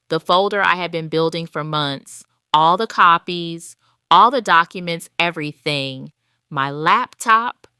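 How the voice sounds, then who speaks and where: Slow, crystal-clear woman storyteller. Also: low voice, pushed and bright, no echo, studio quality. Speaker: audio story narrator. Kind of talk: scripted first-person dramatic narration.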